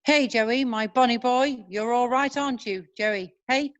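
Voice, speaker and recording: A gentle young cavalry captain read by a woman in an amateur drama. The delivery is earnest, in a voice a little on the high side. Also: a little slow, dull audio, natural voice. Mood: tender. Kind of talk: amateur screenplay read-through.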